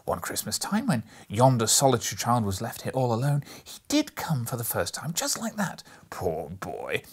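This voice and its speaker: Brief: old miser, as a male reader puts him on, husky, high and loud bursts, breathy whispers, near tears. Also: level tone, brisk, exaggerated voice. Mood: ecstatic. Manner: between laughing and crying, swings to whispers. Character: old miserly man, softened, excited by memories. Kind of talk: storybook reading.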